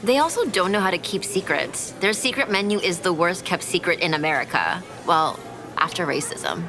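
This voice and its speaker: A sardonic, self-assured young heiress in a podcast drama. Her delivery is sarcastic and very animated in pitch. Music is clearly heard behind her. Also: moderate pace. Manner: dry, sarcastic. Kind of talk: audio drama acting.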